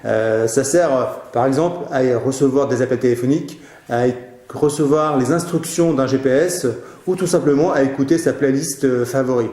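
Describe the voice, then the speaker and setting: A man's voice: medium pitch, normal speed, warm tone, roomy sound. A man presenting a question-and-answer show, giving casual product advice in an informal, hesitant way.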